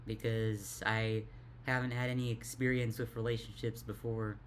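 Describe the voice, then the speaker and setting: A young woman with a deep voice talks in a tired, halting way, stuttering and repeating words in a casual confessional vlog. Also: flat delivery, slight background noise. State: tired, worn out. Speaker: vlogger.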